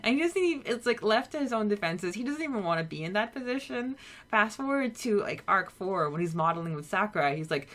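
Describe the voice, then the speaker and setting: A woman hosting a podcast in a casual chat, retelling things in an expressive, giggly way. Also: mid-range voice, fast. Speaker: podcast host.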